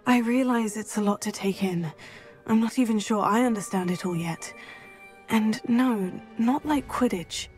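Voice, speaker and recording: A young woman voicing a calm witch student. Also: on the high side, natural voice. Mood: explaining. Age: young adult. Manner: measured, explaining softly, sometimes hushed. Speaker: player character in a video game. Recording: game dialogue with soft music underneath.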